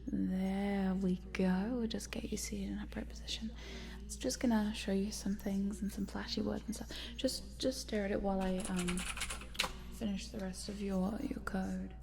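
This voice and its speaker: Woman acting a whispering, creaky-voiced sinister lady scientist. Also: medium pitch, little pitch movement, moderate pace, background noise, natural voice. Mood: coaxing, sinister. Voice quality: soft, breathy whisper with creaky undertone. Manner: hushed, coaxing, murmuring, giggly asides. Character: cute sinister lady scientist, chatty, coaxing, quietly gleeful. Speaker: ASMR roleplay performer. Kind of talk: soft-spoken, whispered ASMR roleplay.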